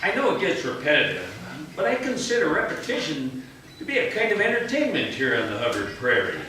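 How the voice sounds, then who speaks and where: A droll prairie local acted by a man with a bright voice that swoops widely. His wordy radio comedy delivery echoes from a distant mic. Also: fairly high pitch, wildly swooping pitch, fairly quick, exaggerated voice. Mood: sheepish, droll. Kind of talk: stage radio comedy acting. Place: live radio theater stage. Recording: echoey theater, far mic, faint noise.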